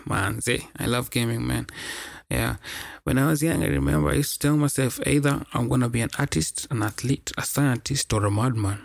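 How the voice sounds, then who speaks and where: A young man with a Kenyan accent hosts a casual solo podcast in a gravelly, mid-pitched voice. The recording is dry and close, and he talks in loose slang, doubling up words for emphasis. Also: moderate pace, dry recording. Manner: slangy, repeats words for emphasis, mixes in Swahili phrases. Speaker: podcast host.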